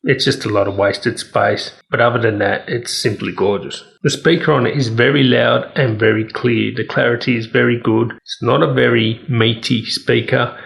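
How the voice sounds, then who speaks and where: A man's voice: mid-range voice, gravelly. A man giving a casual, opinionated product review as a tech reviewer, speaking candidly.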